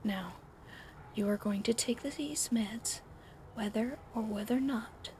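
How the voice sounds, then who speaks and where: A woman whispering softly in an ASMR roleplay, caring but gently bossy, recorded close to the mic at home with a fan running behind her. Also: fairly high pitch, takes it slowly. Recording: fan noise in background.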